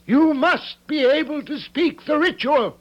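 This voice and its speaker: An actor playing a stern, zealous high priest and father who intones the rules of his tribe like ritual. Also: measured pace, highs cut off. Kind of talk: radio drama acting.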